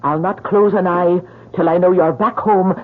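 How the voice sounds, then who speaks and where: A woman's voice: fairly low pitch, steady tone, moderate pace, lightly acted. An actress playing a fond, watchful old grandmother with an Irish accent in a radio drama. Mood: fond, probing. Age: older. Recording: narrow-band old radio recording.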